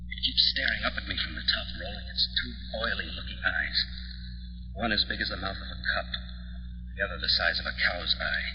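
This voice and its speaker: A man's voice: low voice, fairly quick, background noise, close to an everyday voice. Man acting obsessed brooding husband, melodramatic menace, 1940s radio horror, muffled and reverberant. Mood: horrified fascination. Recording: old radio recording, muffled, long reverb.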